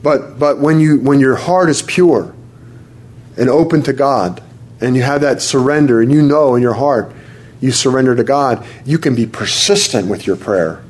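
A man preaching to a congregation and praying aloud fervently, calling on the Lord again and again and reading scripture slowly. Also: medium pitch, a little mellow, slightly rough. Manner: fervent, repeats "Lord" often; reads scripture slowly and deliberately. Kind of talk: sermon and spoken prayer. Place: church service with congregation responding.

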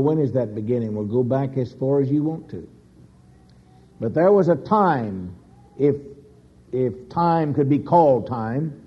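A man preaching a sermon slowly and emphatically, with dramatic pauses and sudden rises in pitch. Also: husky. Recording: dull lo-fi recording.

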